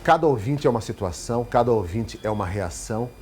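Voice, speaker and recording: A man's voice: mid-range voice, lively intonation, fast, a little mellow. A Brazilian man who works in radio, giving an interview answer with ambient noise around him. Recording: noisy ambient background.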